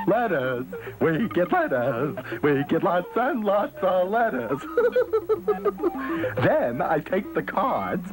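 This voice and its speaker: An actor playing a giggly, muddled, eccentric professor who repeats himself in a children's educational TV show. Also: male voice, sits high, exaggerated voice, poor audio. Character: absent-minded, giggly, eccentric old male professor inventor. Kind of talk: 1970s children's educational puppet television.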